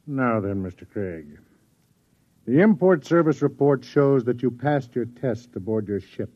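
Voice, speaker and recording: Tinny-sounding, officious government interrogator. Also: male voice, mid-range voice, lively intonation, measured pace. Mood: stern. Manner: formal, officious, insinuating. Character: stern, suspicious male government interrogator. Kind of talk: old-time radio drama acting. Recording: thin phone-like old radio recording.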